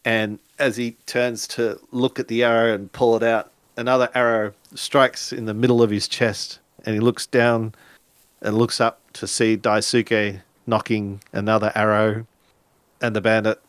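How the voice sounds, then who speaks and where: A man narrates a tabletop role-playing story in a low voice. He goes slowly and haltingly, stopping mid-sentence as he makes it up, and the sound is dry. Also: little pitch movement, clean tone, no echo. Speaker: tabletop role-play game storyteller or player. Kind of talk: improvised game narration.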